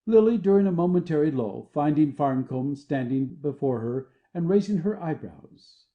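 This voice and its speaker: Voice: medium pitch, animated, normal speed, narrow, tinny audio. A narrator reading the stage directions of a play as scripted narration.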